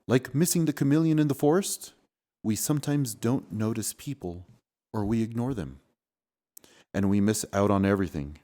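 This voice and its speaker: A man's voice: fairly low pitch, moderate pace, natural voice. Man reading as an earnest, kindly storybook boy. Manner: earnest, gently explaining.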